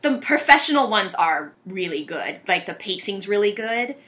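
A young woman co-hosting a podcast, with a bright, crisp edge to her voice. She rambles casually, padding her talk with fillers and giggling often. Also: medium pitch, sing-song, normal speed. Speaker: podcast co-host. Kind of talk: casual chat.

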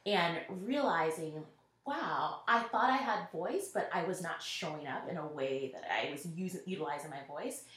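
A woman's voice: low-pitched, expressive, normal speed, fairly bright tone. A woman from Nashville answering interview questions in an earnest, reflective way, often quoting what others said. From Nashville, Tennessee. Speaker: interview guest, school network executive. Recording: roomy echo.

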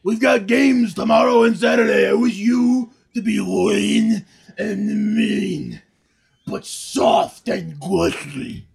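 A man playing a mopey adult brother in an amateur sitcom table read, gravelly and husky. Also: high-pitched, slow, darkish tone, full character voice. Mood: earnest, plodding.

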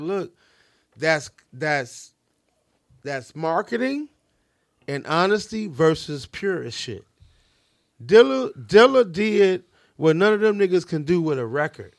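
A man's voice: a little high, fairly bright tone, dry recording, studio quality. A man in his fifties from Chicago's South Side, co-hosting a podcast in casual chat and repeating his points emphatically. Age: 50s. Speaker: podcast co-host.

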